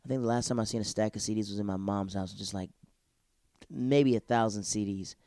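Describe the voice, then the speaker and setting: A man's voice: lowish voice, a little fast, warm tone, dry recording, studio quality. A young man hosting his own podcast alone, reviewing a TV episode in a rambling, slangy, digressive way. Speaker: solo podcast host.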